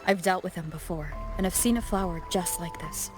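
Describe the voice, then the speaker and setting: A woman's voice: on the low side, brisk, natural voice. An actress playing a composed, knowing young female fighter in a video game, cool and assured. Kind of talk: English video game voice acting. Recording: loud game music behind the voice.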